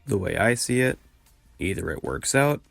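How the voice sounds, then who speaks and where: Woman acting deadpan student, very deep gravelly voice. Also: moderate pace, faint music behind, full character voice. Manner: dry, nonchalant. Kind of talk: audio drama acting, dry banter.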